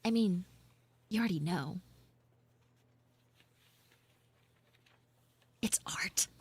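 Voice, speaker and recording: A woman's voice: lowish voice, moderate pace, breathy edge, pitched below the performer's own voice. A woman playing a prickly, defensive schoolgirl in a live audio roleplay, stammering and dramatic as she grumbles and pleads. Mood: embarrassed.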